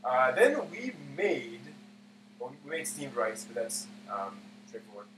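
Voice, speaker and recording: A man hosting a casual cooking live stream, explaining steps haltingly as he thinks aloud. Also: mid-range voice, very animated. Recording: roomy, far mic, clear background hum.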